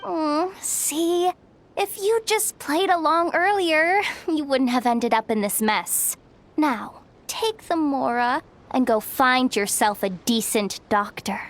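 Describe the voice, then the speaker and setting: A woman's voice: high voice, slow pace, slight background noise. An actress playing a breezy, self-assured, streetwise young woman in a video game, talking in a casual, teasing, slangy way. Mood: cocky, unbothered. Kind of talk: video game character acting.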